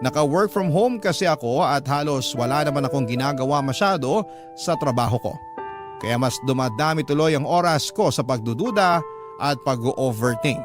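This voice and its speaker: A man narrating a first-person confessional letter as scripted radio drama. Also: fairly high pitch. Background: music.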